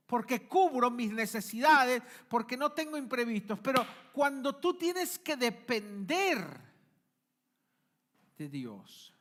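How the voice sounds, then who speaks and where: Man preaching, bright tense voice, loud peaks and hushed drops. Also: very high-pitched. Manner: emphatic preacher, rising and dropping to quiet asides. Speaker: pastor. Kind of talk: sermon. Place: church.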